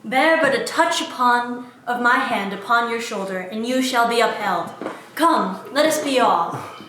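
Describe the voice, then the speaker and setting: A woman's voice: a little high, animated, unhurried, fairly bright tone. A woman playing a sardonic Christmas spirit in an amateur dramatic reading, grand but given to flippant asides. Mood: solemn. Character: sardonic female Christmas spirit guiding a miser. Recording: very echoey room, faint noise.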